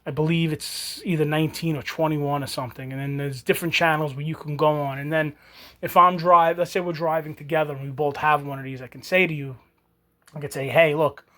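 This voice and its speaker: A man's voice: fairly high pitch, fairly even intonation, a little fast, a bit bright. A man, casually showing an old item and rambling with many restarts. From New York. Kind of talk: casual show-and-tell.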